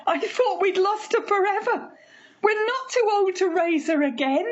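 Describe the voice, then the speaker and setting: A woman's voice: animated, unhurried. A woman playing a distraught, obsessively hopeful older mother in a radio horror play, pleading and emotional. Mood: overjoyed, tearful. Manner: pleading, tearful, highly emotional. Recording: slightly dull home recording.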